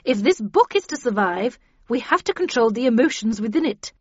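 A woman's voice: on the high side, lively intonation, normal speed, slightly rough, no room sound, pitched above the performer's own voice. A woman voicing a stern, cold, commanding female official, severe and formal, in an audiobook. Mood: cold, urgent. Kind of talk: audiobook character dialogue. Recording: dull, band-limited audio.

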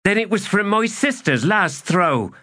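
A man voicing a high, cocky woman soldier. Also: sing-song, a little slow, fairly bright tone, full character voice. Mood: taunting. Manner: teasing, glib. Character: cocky, taunting adult female soldier at a dice game.

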